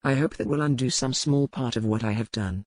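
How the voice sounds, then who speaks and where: A woman voicing a formal, resigned male pirate captain in an audiobook, speaking with measured gravity. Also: very deep voice, a little mellow, dry recording, studio quality, pitched below the performer's own voice. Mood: regretful, resigned. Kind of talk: dramatic audiobook character reading.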